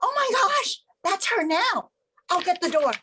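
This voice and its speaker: A woman playing a curious, excitable young schoolgirl in an old-time radio soap. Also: very high-pitched, measured pace, full character voice. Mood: excited.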